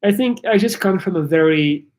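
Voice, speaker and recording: A man from Belgium chatting casually on a livestream, answering viewer questions in a rambling, halting way with many restarts. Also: sits high, animated, a little mellow. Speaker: YouTuber answering live chat.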